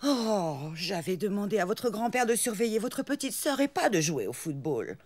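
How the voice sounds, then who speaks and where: A woman voicing a doting, fussing old grandmother elephant in a French children's cartoon, expressive and quick to scold. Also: medium pitch, no echo. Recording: music behind the voice.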